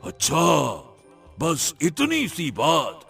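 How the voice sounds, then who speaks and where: Gravelly, slow-talking cartoon demon. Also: male voice, fairly high pitch, huge pitch swings, fairly bright tone, heavily acted. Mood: wary, boastful. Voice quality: raspy, gravelly. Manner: slow, drawn-out, blustering threats with gloating laughter. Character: boastful, menacing, gullible male demon. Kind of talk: exaggerated Hindi cartoon story acting. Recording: music behind the voice.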